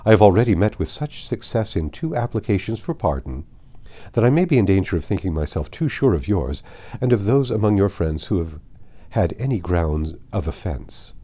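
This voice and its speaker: A man with a very deep, soft-edged voice reads literary fiction aloud. His delivery is formal and measured, with lively swings of intonation on stressed phrases. It has the style of an expressive audiobook narration. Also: husky. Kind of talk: expressive literary read-aloud of a classic novel.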